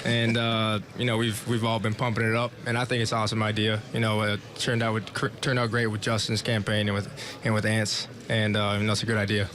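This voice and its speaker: A young man, a college basketball player, answering interview questions haltingly with many fillers. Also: lowish voice, monotone, crowd noise. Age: young adult. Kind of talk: media day interview answers. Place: media day event.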